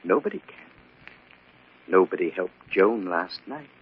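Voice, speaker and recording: Menacing radio-drama man, wildly swinging pitch. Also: expressive, a little slow. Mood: menacing, taunting. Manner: theatrical, taunting, swinging from quiet menace to outbursts. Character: menacing, bitter, unhinged murderous husband. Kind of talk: old-time radio drama acting. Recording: muffled, noisy old radio recording.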